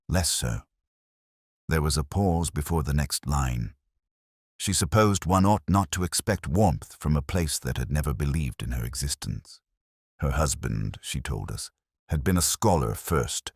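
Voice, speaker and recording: A man performs a melancholy, watchful police inspector narrating a detective audio drama. His voice is extremely low, with measured, literary phrasing and a brooding manner. The sound is dry, with hardly any room to it. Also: expressive, slightly rough, dry recording, heavily acted. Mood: brooding, reflective. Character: melancholy, observant male police inspector recounting a case.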